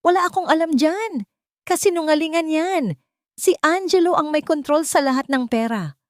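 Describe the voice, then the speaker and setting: A woman voicing a scheming, defiant mistress in an audio love drama, sharp and emphatic. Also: high-pitched, normal speed, dry recording, clean studio sound, voice pushed up, lightly acted. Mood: panicked. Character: scheming mistress, defiant and panicky when cornered.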